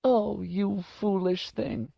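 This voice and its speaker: A man voicing a kindly, soft-spoken old woman housekeeper with a sorrowful, fussing manner in an audiobook. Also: fairly high pitch, very animated, a little slow, no echo, highs cut off, voice pushed up. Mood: distraught. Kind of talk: audiobook character voice.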